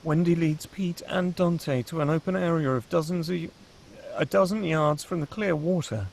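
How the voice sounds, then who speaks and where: A man with a husky voice reading scripted screenplay action as the narrator of a table read. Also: a little high, moderate pace, darkish tone, a little hiss or hum.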